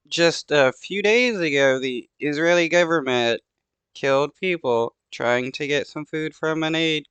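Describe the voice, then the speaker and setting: A man giving casual, off-the-cuff political commentary, often halting and repeating himself mid-thought. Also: mid-range voice, fairly bright tone, smooth, no room sound. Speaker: commentator reacting to news footage.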